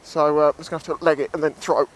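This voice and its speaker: A man's voice: fairly high pitch, level tone, very fast, a little hiss or hum. A British man giving casual, jokey, sweary commentary as he vlogs outdoors on a seashore. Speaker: beachcombing vlogger.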